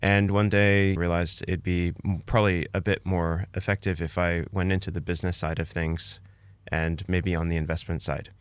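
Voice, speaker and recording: A man's voice: low-pitched, flat delivery, normal speed, few pauses, clean tone, dry recording. A man, telling his own story conversationally as a podcast guest. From Canada, born in Romania.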